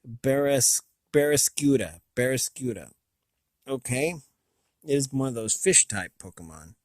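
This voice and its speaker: An American man hosting a casual, unscripted review, thinking aloud in a halting, rambling way with a slightly raspy voice. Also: mid-range voice, lively intonation, no echo, studio quality. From United States. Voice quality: slightly raspy from long talking. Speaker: video host.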